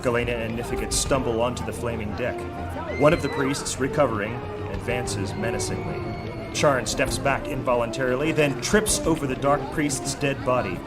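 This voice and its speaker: A man narrating a high fantasy audio drama with dramatic storytelling delivery. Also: steady tone, normal speed, loud music behind the voice, close to an everyday voice. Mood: dramatic, grave. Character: male narrator of dark high fantasy adventure.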